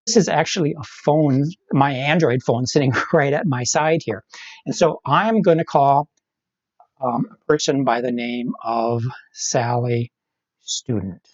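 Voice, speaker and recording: A middle-aged instructor walks listeners through a tech lesson one step at a time. The voice sits at a medium pitch and often pauses to check understanding. The sound is close and dry, with a slightly dull, lo-fi online quality. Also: darkish tone, dry recording. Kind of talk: live online tech training, explaining steps.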